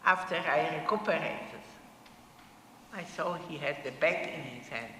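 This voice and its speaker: A woman, giving her personal testimony to an audience in halting, short, plain phrases. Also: deep voice, fairly bright tone. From Poland. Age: 80s. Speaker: Holocaust survivor speaker. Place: synagogue hall. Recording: echoey hall, faint room noise.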